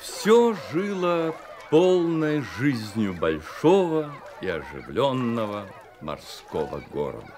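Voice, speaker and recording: A man narrating a literary story for a Soviet-era radio play, measured and expressive, with deliberate pauses. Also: mid-range voice, noisy background. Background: music.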